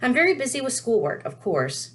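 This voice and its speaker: Woman reading as an earnest bookish schoolgirl, raised pitch. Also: sing-song, fairly quick. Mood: brisk, earnest. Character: bookish, bossy, earnest schoolgirl witch writing a letter.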